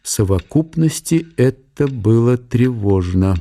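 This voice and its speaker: A man giving a measured, storytelling narration of a literary radio drama. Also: medium pitch, animated, dark tone. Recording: music under the narration. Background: music.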